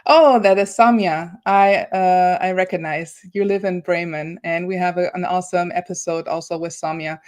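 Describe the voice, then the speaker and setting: A woman's voice: lowish voice, a little mellow. A woman moderating an informal online panel in a conversational way, often voicing little quotes as she retells things. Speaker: host and moderator. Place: office, on a video call. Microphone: video call.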